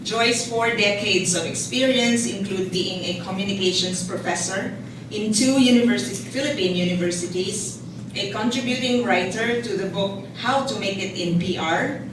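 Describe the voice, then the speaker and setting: A woman acts as program host, delivering formal prepared introductions. Her voice comes from a distance in a very echoey indoor space, with clear noise in the recording. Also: medium pitch, normal speed. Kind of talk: formal event hosting, reading prepared introductions. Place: indoor event space at a book launch. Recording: echoey hall from far off, noisy.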